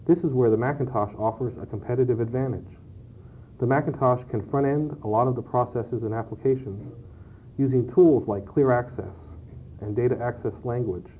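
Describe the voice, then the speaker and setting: A man's voice: mid-range voice, normal speed. A man giving scripted product answers as an interview guest on an old cassette. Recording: dull, noisy early-1990s cassette tape.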